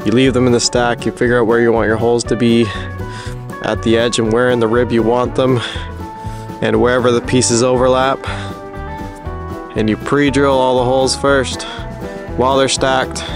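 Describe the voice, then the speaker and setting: Even-toned man casually explaining a build, music behind. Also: mid-range voice, normal speed, long pauses. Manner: plain-spoken, practical, informal. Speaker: host of a DIY building update vlog. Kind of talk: casual explanatory walkthrough of construction work.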